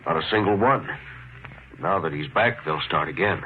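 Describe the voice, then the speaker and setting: A tinny, noisy old radio recording of a rustic male gossip. He is deep-voiced, blunt and deferential, with folksy grammar, in a 1950s mystery drama. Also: expressive, normal speed. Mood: ominous. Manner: folksy, ungrammatical speech.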